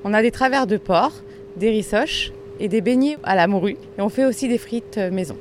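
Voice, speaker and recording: A woman's voice: medium pitch, moderate pace, slight background noise. Matter-of-fact woman shop owner. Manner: plain, matter-of-fact answers. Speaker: snack bar owner. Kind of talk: radio interview guest.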